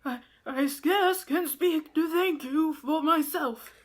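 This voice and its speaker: A feeble old manservant acted by a woman, high in voice and slow of speech. The lines come out halting and weary, in classical radio-play style. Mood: exhausted, grateful. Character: frail, weary, grateful old male servant. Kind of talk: Shakespearean radio play acting.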